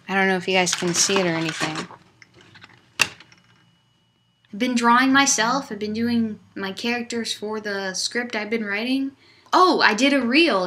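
Bright-voiced young woman vlogging by webcam. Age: young adult. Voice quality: low voice for a woman. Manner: upbeat, chatty, loose rambling. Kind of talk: casual vlog chat. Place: small dorm room, indoors. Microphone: laptop webcam at desk distance.

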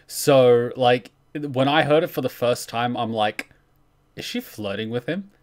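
A man's voice: medium pitch, unhurried. A man hosting a reaction stream, giving casual commentary from a room at home.

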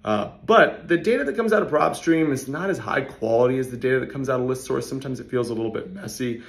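A man in his thirties talks straight to his phone camera in an office hallway, his voice hoarse and worn from yelling. The tone is gravelly with plenty of fry, and he moves quickly through casual, salesy explanations. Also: mid-range voice, lively intonation. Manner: fast, conversational, salesy asides. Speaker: real estate investor and channel host. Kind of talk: casual talking-head explainer.

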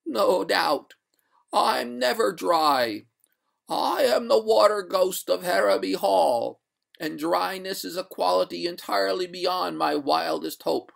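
Storybook water ghost , male reader: high, very slow, gurgling maiden, haughty and indignant, formal old-fashioned diction. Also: gravelly, fairly bright tone, full character voice. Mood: mournful, doomed. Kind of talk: storybook character voice.

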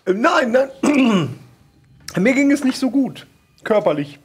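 Husky, very high-pitched old gentleman. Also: sing-song, slow, heavily acted. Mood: pleading, curious. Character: frail, nosy, confused 78-year-old gentleman. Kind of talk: improvised role-play audio drama.

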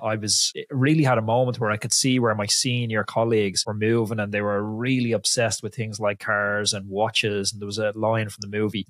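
A man hosts a podcast from a home studio, close to a boom-arm microphone. His voice sits fairly low and sounds dry and close. He talks in a chatty, teasing manner. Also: fairly bright tone, dry recording. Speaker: podcast host. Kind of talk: conversational interview hosting. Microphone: studio mic on a boom arm.

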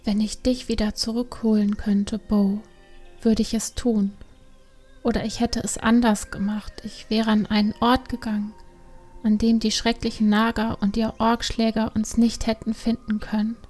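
A woman voicing a grieving, restless young female panda, softly and hesitantly, in a dramatised audiobook. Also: a little high, flat delivery, normal speed, faint music behind, lightly acted. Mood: grieving, earnest. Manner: soft, hesitant, hushed and heartfelt.

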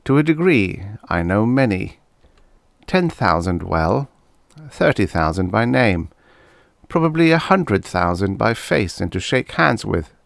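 A man's voice: fairly low pitch, very animated, unhurried, vocal fry, no echo, studio quality, heavily acted. A man voicing a self-assured, boastful politician of seventy in a story, speaking formally and pompously. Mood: smug, frosty. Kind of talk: storybook character dialogue.

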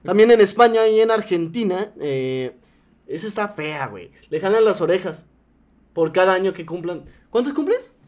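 A man's voice: high voice, moderate pace. A young man from Mexico with a Mexican accent, casually reading out curious facts on a podcast. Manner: presents curious facts in a relaxed way. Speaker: podcast co-host.

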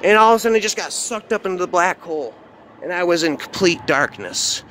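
A man with a high voice improvises a dark first-person story. He sounds agitated, repeats himself and swears in casual slang. The recording is noisy, made outdoors. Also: animated, a little fast. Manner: agitated, repetitive, profane, slangy.

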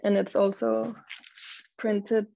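A young adult woman, a Danish native speaker, talking hesitantly with many fillers and reading poems slowly on a video call. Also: medium pitch, level tone, gravelly, warm tone. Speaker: poet and model, panelist. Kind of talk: panel talk and poetry reading. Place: home room, video call. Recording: dull lo-fi video call audio.

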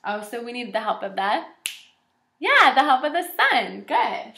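Female kindergarten teacher, twenties, forceful bright tone, encouraging read-aloud delivery, recorded in an echoey room. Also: on the high side, measured pace. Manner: encouraging, animated, addressing young children. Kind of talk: children's read-aloud lesson. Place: small indoor room with shelves and whiteboard.

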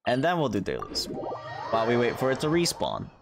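A man's voice: medium pitch, wildly swooping pitch, brisk, vocal fry. A young American man doing casual, slangy gameplay commentary in an offhand, musing way as a livestreamer. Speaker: video game livestreamer. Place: indoor streaming room with webcam. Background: game music.

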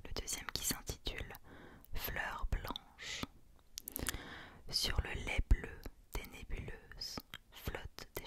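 A woman hosting ASMR, speaking in a gentle, hushed whisper as she chats and reads poetry. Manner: gentle, hushed, unhurried delivery. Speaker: ASMR host.

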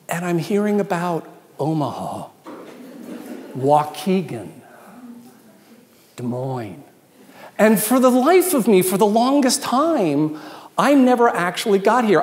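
High-pitched American man speaking in echoey hall. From Washington, D.C., United States. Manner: animated, joking, conversational, with emphatic asides. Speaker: keynote speaker, consultant. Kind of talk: informal after-dinner keynote talk. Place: large event hall with dinner tables, indoors. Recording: echoey hall with long reverb.

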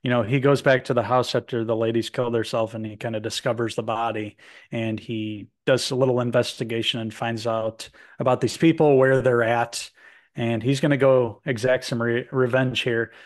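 A man in his thirties gives a loose, meandering recap of a film over a video call from home. His voice is middle-pitched, and he often stops to restart a thought. His tone stays casual throughout. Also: level tone, moderate pace. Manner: meandering, many fillers and restarts. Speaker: movie review co-host. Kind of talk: casual film review chat. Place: home room with posters indoors. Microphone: video call webcam.

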